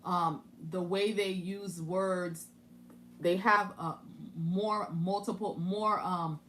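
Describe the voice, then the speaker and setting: Woman musing through scripture, lower voice. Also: steady tone, slow, fairly bright tone, a little hiss or hum. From Haiti. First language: French. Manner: thinking aloud, with many restarts and asides. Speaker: Bible teacher. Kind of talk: casual Bible study commentary.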